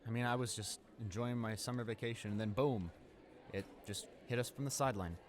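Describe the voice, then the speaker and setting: A friendly teenage guy in his last year of high school, acted by a young man, in a casual roleplay audio drama. He rambles and hesitates, easily flustered and quick to apologize. His voice sits at a medium male pitch. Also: a little hiss or hum, natural voice. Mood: casual complaining.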